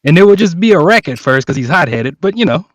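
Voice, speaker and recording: A man chatting casually as a guest, animated and jokey in the way he talks. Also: fairly high pitch, fast talker, dry recording, clean studio sound. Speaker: cast member, guest. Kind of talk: casual discussion. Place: remote online voice call.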